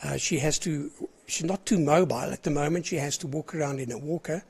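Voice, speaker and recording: Older South African man running a ham radio net, husky cold-roughened voice, a bit high, rambling and clearing his throat. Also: a little fast. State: hoarse with a cold. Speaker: net controller of an amateur radio net. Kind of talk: casual on-air net chat.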